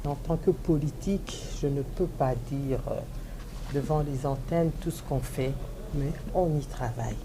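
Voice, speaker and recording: A woman's voice: low voice, measured pace, noisy background. A woman politician, making an emphatic rallying appeal in a TV interview and repeating her key phrases. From DR Congo. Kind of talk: televised interview statement.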